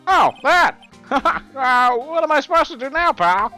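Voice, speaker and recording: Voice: high-pitched, expressive, unhurried, pushed and bright, soft background music, heavily acted. A performer voicing a goofy, broke male detective in a fan game dub, hearty and chummy. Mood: sheepish.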